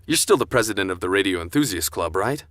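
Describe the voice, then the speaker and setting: Low-voiced, intense young American man. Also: expressive, fast talker, a bit bright. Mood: determined. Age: young adult. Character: intense young college man bent on exposing his uncle. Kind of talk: radio drama acting.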